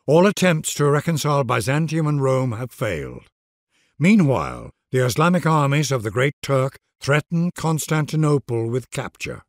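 A man narrating a strategy game scenario in a grave, measured storyteller delivery. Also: mid-range voice, animated, dry recording, studio quality, close to an everyday voice. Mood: solemn. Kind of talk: scripted dramatic narration.

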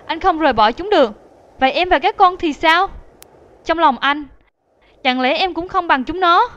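High-pitched, angry, hurt wife. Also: female voice, animated, normal speed, a bit bright, highs cut off. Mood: hurt, reproachful. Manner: accusing, demanding. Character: angry, hurt wife confronting her absent husband. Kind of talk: dubbed animation acting.

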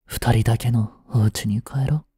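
A young man acting a jealous, possessive yandere boyfriend in a romantic voice drama, with a soft, coaxing, controlling manner that is sweetly menacing. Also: medium pitch, steady tone, unhurried, slightly rough, no echo, clean studio sound. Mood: tender, whispering. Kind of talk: Japanese romantic situation voice drama.

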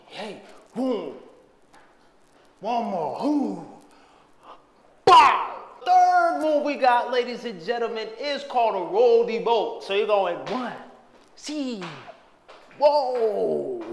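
A man's voice: high-pitched, roomy sound, in a large hall, slight background noise. A young man teaching dance moves, counting beats and calling out steps with energy while he dances, in a bare empty room. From Haitian background. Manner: energetic, hypes and shouts while dancing. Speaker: dance instructor.

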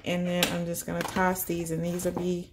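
A woman's voice: lowish voice, completely flat delivery, normal speed, background noise. A woman, chatting casually and upbeat as she walks through a cooking prep at home, thinking aloud as she goes. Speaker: vegan cooking vlogger. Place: home kitchen.

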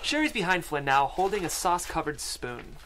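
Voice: medium pitch, sing-song, normal speed, fairly bright tone, a little hiss or hum. A person reading screenplay stage directions and scene headings as scripted narration in a studio. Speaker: stage-direction reader at a table read. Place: podcast studio with several people. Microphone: studio microphone.

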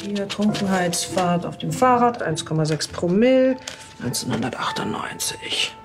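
An actress playing a bossy, sarcastic police chief, pointed and condescending, in a TV crime comedy. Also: female voice, medium pitch, animated, normal speed, over music, lightly acted. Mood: mock-patient, leading. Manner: pointed, condescending, mock-patient.

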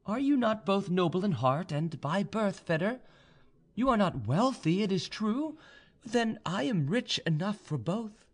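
A man voicing a proud, commanding young woman in an audiobook, speaking in a deliberate, imperious way. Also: sits high, very animated, husky, pitched above the performer's own voice, exaggerated voice. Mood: proud, composed. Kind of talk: audiobook character dialogue.

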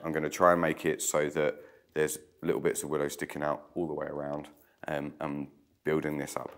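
A man in his thirties with a very low voice talks through a craft tutorial in a chatty way while his hands keep weaving. He is getting over a cold, so his voice sounds croaky. He is recorded in an old stone-walled room by a camera set across the table. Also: monotone, brisk, fairly bright tone.

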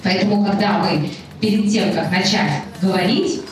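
A woman's voice: lowish voice, moderate pace. A woman coach explaining breathing exercises at a workshop with a handheld mic in a conference room. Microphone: handheld mic, far camera. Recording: roomy, distant, with music.

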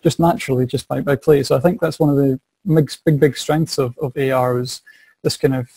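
Young Scottish man, mellow dry tone, eager teacher voice over video call. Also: medium pitch, fairly even intonation, a little fast, vocal fry, husky, no echo. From Scotland. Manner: eager, repeats words as he thinks. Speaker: science and maths teacher. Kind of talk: casual interview answers. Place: small home office. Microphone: video call webcam.

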